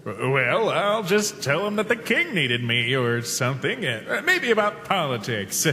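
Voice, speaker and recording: A man voicing a weary, guilt-ridden skeleton, a protective older brother, in an emotional comic dub with halting, sighing delivery. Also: on the high side, very animated, pushed and bright, slight background noise, full character voice. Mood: weary, evasive. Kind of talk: dramatic comic dub acting. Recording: long reverb, music behind. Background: music.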